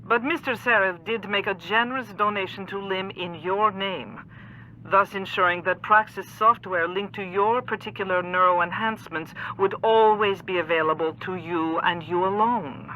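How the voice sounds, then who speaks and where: Game cutscene doctor, female, played by an actress: measured, formal, bright-voiced, faint noise behind. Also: medium pitch, steady tone, natural voice, low-quality recording. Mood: measured, clinical. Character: composed clinic doctor briefing an augmented patient. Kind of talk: video game cutscene acting.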